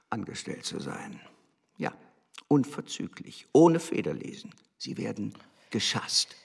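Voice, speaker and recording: Haughty, sneering official, low husky voice. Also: male voice, full character voice. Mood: coldly threatening. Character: arrogant, scornful male embassy official berating his spy. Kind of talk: German radio drama acting.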